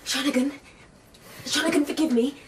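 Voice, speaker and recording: A woman's voice: sits high, fairly even intonation, moderate pace, far from the mic, slight background noise, close to an everyday voice. An actress playing a flustered, frightened lady-in-waiting in a radio drama, breathless and urgent. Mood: panicked, urgent.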